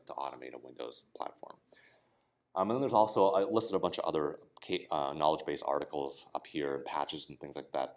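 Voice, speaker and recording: A man giving a casual, explanatory technical talk at a conference, often checking in with "right?". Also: extremely low pitch. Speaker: conference speaker. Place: conference room.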